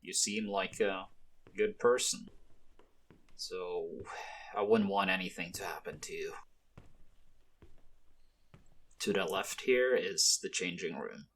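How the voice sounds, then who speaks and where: A man acting in an ASMR roleplay as a mafia boss's son, a soft-spoken, hesitant and protective young man with gentle, measured delivery. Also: deep voice, full character voice. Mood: softly concerned.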